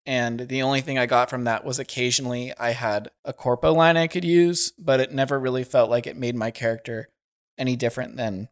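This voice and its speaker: A man's voice: mid-range voice, steady tone, brisk. A man chatting casually about games, easygoing and thinking aloud with fillers. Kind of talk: casual chat.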